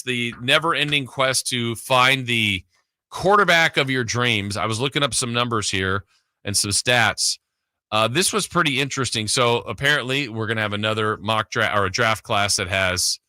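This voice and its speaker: A man's voice: lowish voice, pushed and bright, dry recording. A man hosting a sports radio show, talking casually and thinking aloud in a conversational way. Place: radio studio. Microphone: close broadcast studio mic.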